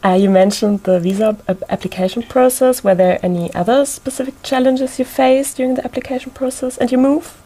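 A woman's voice: high-pitched, steady tone, fairly quick, mellow. A woman co-hosting a campus interview, asking careful, slightly hesitant questions. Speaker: co-host, interviewer.

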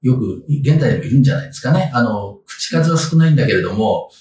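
A man in his sixties with speech explains history as a guest. His delivery is measured and halting, yet the pitch moves a lot. He sits away from the mic in a roomy space with faint noise. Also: animated, darkish tone, far from the mic. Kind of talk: interview answers, explaining history. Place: small indoor room, seated at desk.

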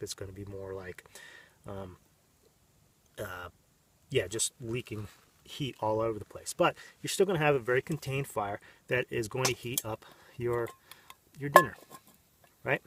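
A man's voice: fairly low pitch, normal speed, pauses often, far from the mic, a little hiss or hum. A man in his thirties giving a casual, rambling how-to demonstration outdoors in the desert, with many fillers and restarts. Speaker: vlogger. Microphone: camera mic, on-camera and off-frame.

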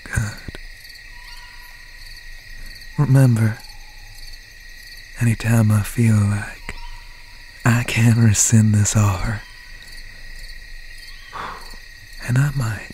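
Man playing a slow, whispering, obsessed slasher boyfriend. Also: on the low side, mellow. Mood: threatening, tender. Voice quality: breathy, often whispering. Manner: slow, halting, folksy, menacing. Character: obsessed, menacing slasher boyfriend, rural serial killer. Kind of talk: ASMR roleplay, slow and intimate. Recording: noisy night ambience with crickets, owl. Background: crickets, insects and an owl.

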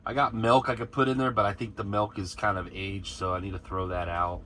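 A low-pitched American man talks in a loose, rambling way while cooking inside his truck cab. His voice has a bright, forceful edge, and faint ambient noise hums underneath. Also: flat delivery, a little fast. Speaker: truck driver vlogger. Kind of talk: casual vlog chat while cooking.

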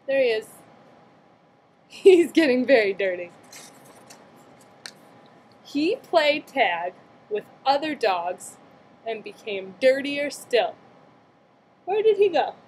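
A woman's voice: high-pitched, darkish tone, slight background noise, poor audio. A young adult woman doing a read-aloud storytime for small children, playful, with chatty questions and exclamations. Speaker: aunt reading a picture book to young relatives. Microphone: computer webcam mic at arm's length.

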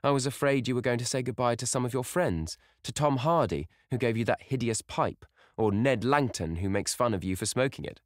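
A man's voice: medium pitch, lively intonation, a little fast, few pauses, dry recording, clean studio sound, pitched above the performer's own voice, close to an everyday voice. A man voicing a sweet, playful young girl with a light, teasing manner in a storybook reading.

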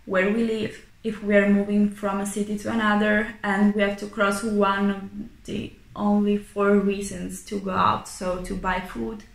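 Even-toned young woman in echoey room. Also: mid-range voice, a little slow, vocal fry, few pauses. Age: 20s. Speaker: resident sharing experience. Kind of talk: explanatory testimony to camera. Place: plain indoor room.

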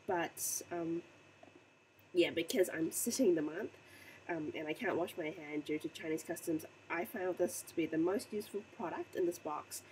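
A young woman with a croaky voice from a recent flu, chatting casually to a webcam in her bedroom with lots of ums. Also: fairly low pitch, moderate pace, a little mellow, slight background noise, poor audio. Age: young adult. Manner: chatty and rambling, many ums. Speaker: beauty vlogger. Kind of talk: casual unboxing vlog to camera. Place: small bedroom indoors. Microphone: webcam at desk distance.

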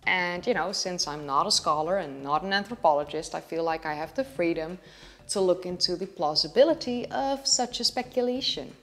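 Dutch accent girl in her 20s, bit low pitched, talking history to the camera on a lapel mic. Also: moderate pace, fairly bright tone. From Netherlands. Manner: chatty, explaining with hand gestures. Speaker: history channel host. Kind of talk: educational video talk to camera. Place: living room with a sofa.

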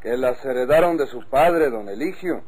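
A man's voice: medium pitch, animated, normal speed, darkish tone, slightly rough, low-quality recording. An actor playing an outspoken, cautioning countryman in an old radio drama, with a northern Mexican accent.

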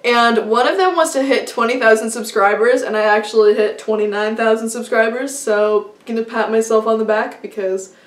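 A woman's voice: a little high, steady tone, a little fast, few pauses, roomy sound, far from the mic. A young woman talking to camera in a casual, upbeat vlog, chatty and full of fillers. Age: young adult. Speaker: vlogger. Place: small indoor room before a plain wall.

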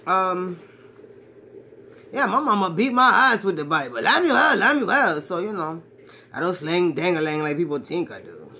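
A woman's voice: on the low side, big pitch swings, normal speed, sharp tone, breathy edge, heavily acted. A woman playing a brash, crude man in his forties who talks like a swaggering ladies' man, rambling to a phone camera in a small bedroom. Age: 40s. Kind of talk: comedic character monologue to camera. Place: small cluttered bedroom indoors. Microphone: phone at arm's length.

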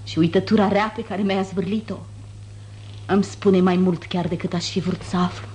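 A woman's voice: medium pitch, steady tone, darkish tone, slight background noise, natural voice. An actress playing a proud, wounded noblewoman, formal, declamatory and reproachful, in 1950s radio theatre. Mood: pained, demanding.

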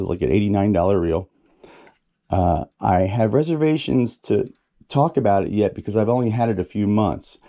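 A man in his forties with a low, mellow voice talks casually through his fishing gear. He rambles a little and drops fillers as he goes, sitting at a workbench in a small room. The sound is close, picked up by a camera on his head. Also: muffled recording. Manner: relaxed, rambling, plain-spoken with filler words. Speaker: fishing channel host.

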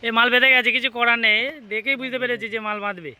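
A man talks quickly in a high, piercingly bright voice. He gives excited, casual running commentary out in the open, addressing his viewers directly like a vlogger. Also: clean tone. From West Bengal, India. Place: outdoors beside a pond.

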